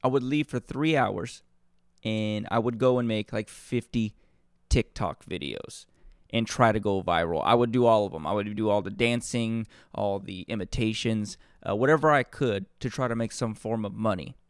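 A man reading a storybook and breaking off into casual, rambling, deadpan joking asides. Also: fairly low pitch, normal speed, smooth, no echo, studio quality. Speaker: storybook reader and comedian.

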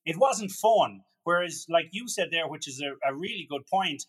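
A man's voice: on the high side, lively intonation, moderate pace, husky. A man hosting a podcast, chatting casually with many restarts and fillers. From Ireland. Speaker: podcast host. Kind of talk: casual conversation.

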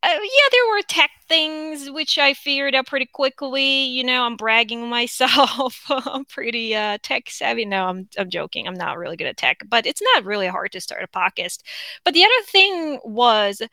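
Korean-accented woman, solo podcast host, rambling and bright, close and dry. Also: high voice, big pitch swings, normal speed, dry recording. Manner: rambling, chatty, frequent fillers and restarts. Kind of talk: casual unscripted monologue.

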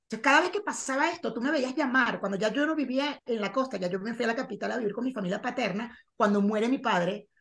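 Woman in her forties, Venezuelan accent, higher voice, animated storyteller, video call. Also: quick pace, few pauses. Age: 40s. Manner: animated storyteller, acts out quoted lines. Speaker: guest. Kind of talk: casual interview chat.

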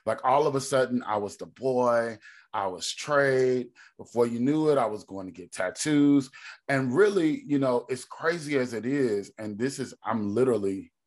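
Deep-voiced man, thinking aloud. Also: normal speed. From Texas. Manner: thinks aloud, many ums and restarts. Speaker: podcast guest. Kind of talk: reflective conversational storytelling.

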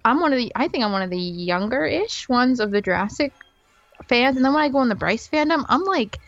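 A woman's voice: fairly high pitch, expressive, fairly quick, no echo. A woman in her twenties, a podcast guest, chatting in a reflective, talkative way. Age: 20s. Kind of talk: casual podcast chat. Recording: muffled lo-fi audio with faint music.

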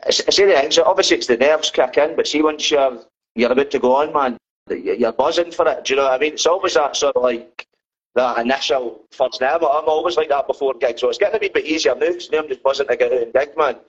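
A man's voice: medium pitch, fast, breathy edge. A Scottish man in a band, chatting in a rambling, laid-back way as an interview guest. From Scotland. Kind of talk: casual interview chat. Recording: dull lo-fi sound.

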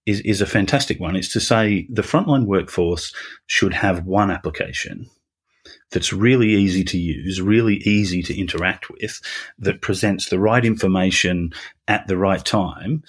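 A deep, slightly husky voice belongs to an Australian man in his forties. He talks through his points in a measured, explanatory way and restarts phrases as he goes. He comes across as a business guest on an interview podcast. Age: 40s.

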